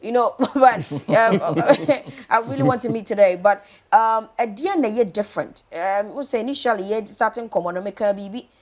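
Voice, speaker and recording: A woman's voice: on the high side, expressive, moderate pace, few pauses, a little mellow. Chatty Ghanaian woman hosting a morning show. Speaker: morning show host interviewing a guest. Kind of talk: casual chat interview mixing English and a local language.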